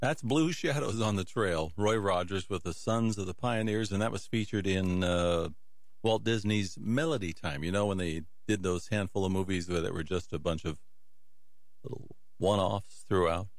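A man's voice: deep voice, normal speed, no echo, clean studio sound. A man hosting a music radio show, talking casually with chatty, musing asides.

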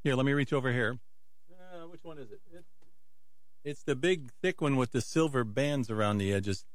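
A man presents records on a music radio show in a casual, chatty way. His voice has a middle pitch and sits close and dry, with informal collector's asides between songs. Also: moderate pace, no echo. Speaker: radio host.

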